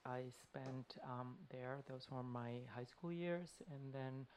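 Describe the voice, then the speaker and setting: A man's voice: medium pitch, slow pace, darkish tone, slight background noise. A man from Belgrade, a first-time podcast guest, talking casually and hesitantly with frequent ums. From Belgrade, Serbia.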